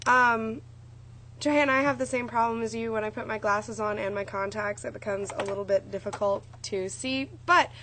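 A young woman, a little hoarse, chatting in a rambling, bubbly way to her friends from a small dorm room on a webcam. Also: on the high side, fairly even intonation, moderate pace, sharp tone, a little hiss or hum. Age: young adult. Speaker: vlogger. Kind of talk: casual chat to friends. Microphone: webcam at close range.

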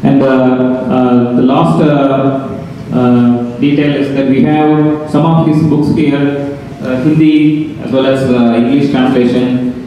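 A man in his forties, an event organizer, who reads a prepared message and gives thanks into a handheld mic, with a halting delivery full of fillers and restarts. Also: mid-range voice, fairly even intonation, dark tone, a little hiss or hum. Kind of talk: reading a prepared message aloud, then giving a vote of thanks. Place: large hall, indoors. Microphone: handheld microphone. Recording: echoey hall, camera at a distance.

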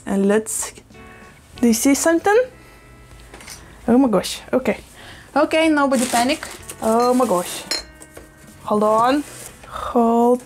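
A young woman whose first language is Russian, chatting quickly and gushing with plenty of exclamations while repotting plants at her desk in a small room full of plants. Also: a little high. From Russian-speaking, living in Lithuania. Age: young adult. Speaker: plant vlogger. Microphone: fixed camera at desk. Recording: faint background music under the voice. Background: soft background music.

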